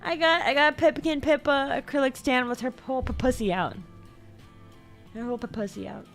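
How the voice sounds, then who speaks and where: A woman's voice: fairly even intonation, fairly quick. Rambling young woman livestreamer. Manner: rambling, repeats words, sudden squeaky jumps in pitch; stumbles over words. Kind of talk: casual stream chat. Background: faint background music.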